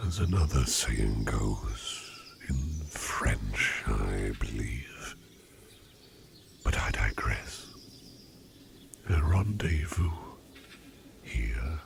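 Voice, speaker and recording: A man voicing a courtly, curious sleep demon in human disguise, speaking formally in a breathy, half-whispered ASMR roleplay with deliberate pauses. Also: rumbling low, background noise, full character voice. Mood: secretive, inviting. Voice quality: breathy, often dropping into whisper. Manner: formal, archaic, deliberate phrasing with many pauses. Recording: birdsong and forest ambience behind voice. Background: birdsong, outdoor nature sounds.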